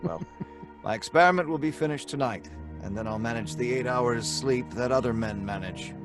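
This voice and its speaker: A man's voice: mid-range voice, normal speed, lightly acted. An actor playing an earnest, obsessed young scientist telling his grim tale in an old-time radio drama style. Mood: earnest, affectionate. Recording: music under the voice.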